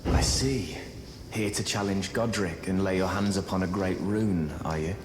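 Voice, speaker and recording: An actor playing a courteous, wry fugitive sorcerer in a fantasy video game, genteel, chatty and scholarly. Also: male voice, sits low, moderate pace, noisy background, close to an everyday voice. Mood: courteous, wistful. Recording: roomy echo with loud game music.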